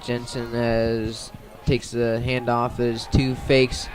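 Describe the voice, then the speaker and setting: A man's voice: mid-range voice, completely flat delivery, slow pace, low-quality recording. A man doing live radio play-by-play of a football game outdoors, in short clipped phrases. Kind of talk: live radio sports commentary.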